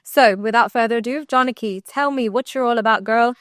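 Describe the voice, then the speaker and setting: A woman's voice: on the high side, fairly quick, a bit bright, clean tone, dry recording, clean studio sound. A young woman hosting a women's health podcast in a bubbly, chatty interviewing style. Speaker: podcast host. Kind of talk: casual podcast chat.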